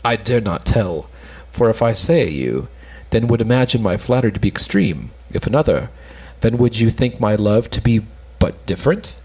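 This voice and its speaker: A young man reading the part of a devoted, lovesick courtier in an Elizabethan stage comedy, in a measured, declamatory way. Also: lowish voice, animated, husky, low-quality recording. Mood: guarded. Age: young adult. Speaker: student reader in an amateur play reading.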